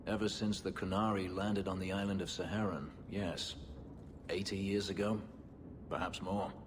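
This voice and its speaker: Male actor as bitter brooding warrior, video game, very deep, grave, dry sarcasm, long reverb, noisy backdrop. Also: fairly even intonation, natural voice. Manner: measured, grave, dryly sardonic.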